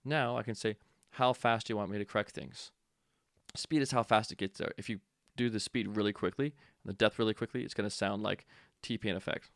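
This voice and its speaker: A man's voice: lowish voice, steady tone, brisk, a bit bright, no room sound, clean studio sound. A man teaching audio production in a casual tutorial, explaining step by step and thinking aloud as he goes.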